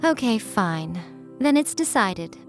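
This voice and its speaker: A woman's voice: on the high side, big pitch swings, takes it slowly, exaggerated voice. A woman playing a sly, self-assured shrine priestess in a video game, with an airy, teasing, haughty manner. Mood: playfully imperious. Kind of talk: scripted video game character acting. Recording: music playing behind the voice.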